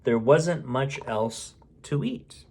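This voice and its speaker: A man's voice: mid-range voice, slow, creaky, a little mellow, a little hiss or hum. A man reading a storybook aloud expressively, with casual muttered asides as he goes. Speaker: bedtime story reader.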